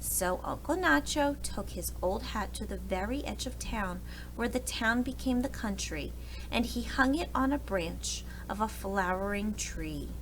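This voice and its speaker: A woman's voice: mid-range voice, lively intonation, measured pace, fairly bright tone, rough recording. A woman reading a storybook aloud expressively for young children, recorded with background noise.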